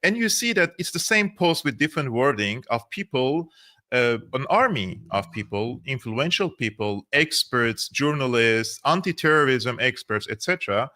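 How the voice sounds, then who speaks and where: A man's voice: medium pitch, animated, normal speed, sharp tone. A man from Syria hosting a political livestream, talking earnestly and often repeating words as he searches for phrasing. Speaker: political commentary host.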